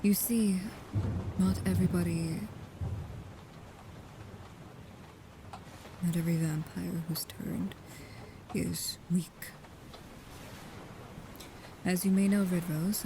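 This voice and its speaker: A woman playing a guarded, protective vampire with a painful past, speaking slowly, softly and hesitantly, often in whispers, in an intimate ASMR roleplay. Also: on the low side, creaky, noisy background. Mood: guarded, serious.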